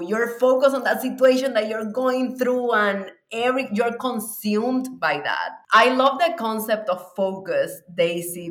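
A woman's voice: medium pitch, fairly bright tone, roomy sound. A woman from Cuba with a Puerto Rican accent, a mindset coach speaking as a podcast guest, enthusiastic and reflective, often laughing as she talks. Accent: Puerto Rican Spanish. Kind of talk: casual podcast interview.

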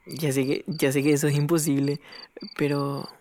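An actor playing a lonely, shy ten-year-old boy in an audio drama, speaking haltingly and pleadingly. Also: mid-range voice, a little mellow. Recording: faint noise, night insects and frogs. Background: crickets and frogs at night.

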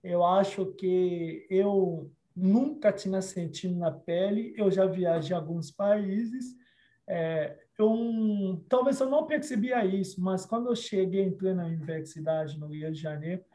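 Interviewee: man from Congo, non-native speaker, high mellow voice, earnest storyteller. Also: unhurried. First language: not Portuguese. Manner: earnest storytelling with frequent restarts and soft laughs. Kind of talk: personal life story in an interview.

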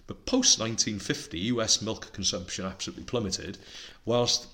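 Bright-voiced man, chatty podcaster. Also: on the low side, normal speed. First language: Welsh. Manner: chatty, enthusiastic, reeling off facts and figures. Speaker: podcast co-host. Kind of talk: casual podcast chat.

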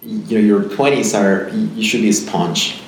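A man's voice: lowish voice, a little slow. A man from Peru, in his thirties, answering interview questions thoughtfully, often repeating words and ending sentences with "right?". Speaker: podcast guest. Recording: very echoey room, faint ambient noise.

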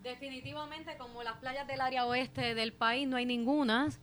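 A woman's voice: high voice, steady tone, moderate pace, fairly bright tone, a little hiss or hum. A woman with a Puerto Rican accent, a legislator, speaking politely as a radio guest with some hesitant fillers. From Puerto Rico. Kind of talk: formal, courteous radio chat. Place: radio studio.

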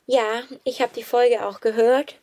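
A woman's voice: a little high, steady tone, measured pace, mellow. Swabian-accented woman, easygoing. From Swabia, Germany. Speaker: guest athlete. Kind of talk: casual interview.

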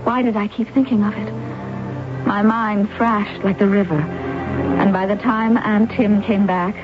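An actress playing an anguished but resolute self-sacrificing wife in an old-time radio drama, with earnest, deliberate, dramatic phrasing. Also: female voice, medium pitch, steady tone, natural voice. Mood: troubled, brooding. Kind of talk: old-time radio drama acting and first-person narration. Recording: muffled old radio recording, music behind.

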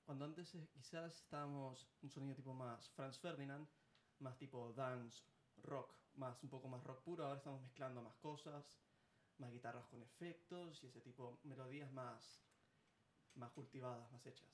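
A man's voice: medium pitch, fairly even intonation, moderate pace, reverberant, a little hiss or hum. A man from Argentina, a band musician, talking casually and easily in a radio interview. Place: radio studio.

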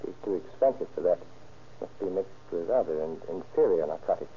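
Old tinny radio play, a calm sneaky smuggler, really low voice, formal clipped lines. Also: male voice, brisk, a little hiss or hum. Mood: calm, secretive. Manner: formal, clipped, precise phrasing. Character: calm, secretive male drug smuggler aboard ship. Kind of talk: 1930s radio drama acting.

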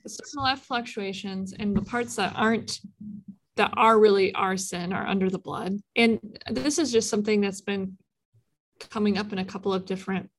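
A woman's voice: mid-range voice, fairly even intonation, moderate pace, a little hiss or hum, rough recording. Woman in her 30s hosting a chill podcast, lots of "like", laughs a bunch. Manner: chatty, laughs often, says "like" a lot. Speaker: podcast host. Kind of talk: casual faith podcast chat. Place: in a room with a co-host, in person.

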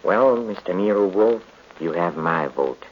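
An actor playing a genial, courteous, chatty host of an old-time radio mystery show. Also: male voice, low-pitched, lively intonation, measured pace, music in the background. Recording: dull, noisy vintage radio recording.

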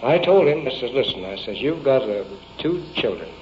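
A man giving a witness statement, recalling conversations haltingly in colloquial, repetitive, emphatic phrases. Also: mid-range voice, big pitch swings. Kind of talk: unscripted, halting recollection. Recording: dull, faintly noisy old field recording.

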